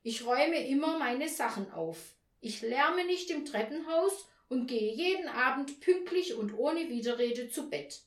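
Timid older lady acted by a woman, high-pitched, flustered, stammering, eager to please, storybook character, echoey room. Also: sing-song, measured pace, darkish tone. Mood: eager, defensive. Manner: stammering, eager to justify herself.

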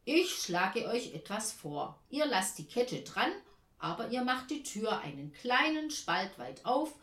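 Woman playing a slow, coaxing Saint Nicholas. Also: a little high, very animated, a bit bright, roomy sound. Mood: persuasive. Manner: slow, drawn-out, emphatic coaxing. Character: coaxing, gently teasing male Saint Nicholas visitor. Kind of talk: children's storybook character voice.

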